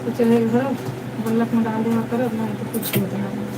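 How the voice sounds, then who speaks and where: High-voiced woman live streamer, noisy distant mic. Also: level tone, moderate pace. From Deoria district, India. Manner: repetitive, chatty, addresses viewers as sister. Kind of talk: casual live chat with viewers.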